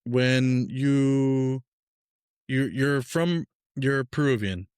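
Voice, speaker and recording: A man from Peru hosting a casual podcast interview, relaxed and upbeat, talking loosely with fillers. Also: mid-range voice, extremely slow, smooth, no room sound, clean studio sound. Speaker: podcast host.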